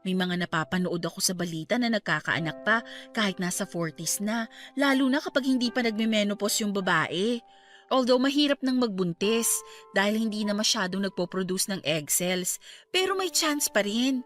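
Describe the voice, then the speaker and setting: A woman playing a caring, excitable young niece, polite and emphatic, in a radio drama. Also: medium pitch, normal speed, faint music behind, lightly acted. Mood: reassuring. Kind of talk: Tagalog radio drama acting.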